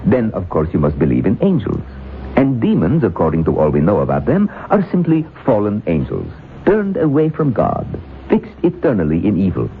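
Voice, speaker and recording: A gravelly, sinister occult author. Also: male voice, on the low side, wildly swooping pitch. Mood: suave. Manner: silky, mocking, slow and deliberate. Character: sinister male occultist author, suave and menacing. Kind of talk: 1970s radio drama acting. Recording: tinny lo-fi radio broadcast with faint hiss.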